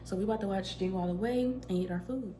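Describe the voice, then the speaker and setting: A woman's voice: mid-range voice, racing, mellow, a little hiss or hum, rough recording. A young woman, chatting casually to her vlog viewers at home, filmed on a handheld phone. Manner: chatty, addresses viewers as y'all. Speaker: vlogger. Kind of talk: casual vlog chat to camera. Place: apartment kitchen and living room indoors. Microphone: phone camera held at arm's length.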